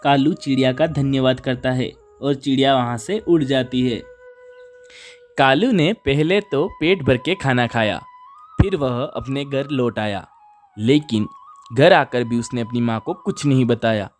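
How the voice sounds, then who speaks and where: A man's voice: medium pitch, measured pace. A man narrating a scripted children's moral story. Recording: music under the voice. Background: background music.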